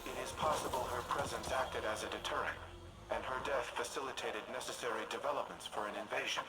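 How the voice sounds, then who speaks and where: An actor playing an ancient male war AI in a video game, with a measured, formal and grave delivery. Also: mid-range voice, steady tone, natural voice. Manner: grave and precise, clipped statements. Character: ancient male war AI, solemn and guilt-ridden. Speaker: military AI guiding the player. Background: loud game music.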